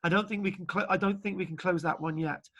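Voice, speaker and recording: Man, lecturer, bright voice, chatty, rambling, video call. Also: sits high, fairly even intonation, quick pace. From Britain. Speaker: archaeology lecturer. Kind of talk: online class lecture and chat.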